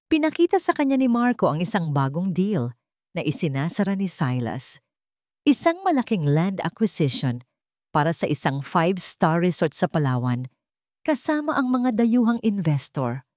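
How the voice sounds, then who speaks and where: Low-voiced Filipino woman narrating, dramatically expressive. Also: normal speed, dry recording, studio quality. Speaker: story narrator. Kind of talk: dramatic scripted audiobook-style narration.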